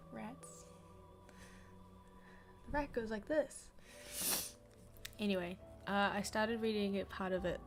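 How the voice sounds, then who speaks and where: A sniffly young woman with a New Zealand accent talks in an unhurried, drawn-out way on a home livestream. She is chatty and self-deprecating, laughing at herself between bits of reading, at a middle pitch. Music plays clearly behind her. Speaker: livestreamer reading a book aloud. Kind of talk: casual livestream chat and book reading.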